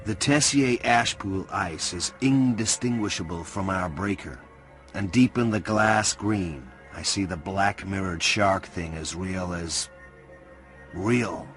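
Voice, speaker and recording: A man's voice: sits low, slow pace, a bit bright, faint music behind. A man playing a brooding hacker who gives dramatic scripted narration in a radio drama. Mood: brooding, intent.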